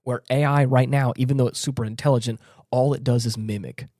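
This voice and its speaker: A man's voice: medium pitch, steady tone, dark tone, dry recording, clean studio sound. A man co-hosting a podcast, arguing a point casually and emphatically, often repeating himself and restarting mid-thought. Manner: halting, repetitive, emphatic arguing. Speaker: podcast co-host. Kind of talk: casual opinionated discussion.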